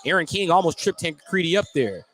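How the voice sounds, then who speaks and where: A man's voice: a little high, sing-song, brisk, fairly bright tone. An American man giving live radio play-by-play commentary as a basketball announcer. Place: indoor high school basketball gym. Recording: clearly noisy live gym broadcast.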